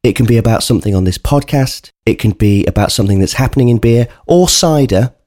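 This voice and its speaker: A man's voice: mid-range voice, animated, quick pace, warm tone, dry recording, clean studio sound. A man with a cold, sounding bunged up, chatting earnestly and directly to listeners as a podcast host. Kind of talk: casual spoken podcast introduction.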